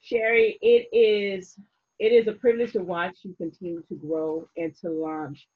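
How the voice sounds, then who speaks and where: Woman host, husky voice, encouraging and motivational, muffled lo-fi sound. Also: on the low side, level tone, normal speed. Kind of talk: casual interview chat.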